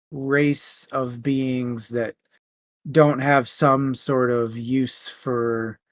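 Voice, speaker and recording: A man's voice: mid-range voice, fairly bright tone, no echo. A man hosting a casual book discussion. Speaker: host of a book discussion stream.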